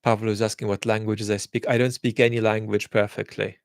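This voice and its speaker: A man answering viewer questions in a casual chat, speaking in a thoughtful, measured way with frequent restarts. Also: on the low side, little pitch movement, gravelly, dry recording, studio quality. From England.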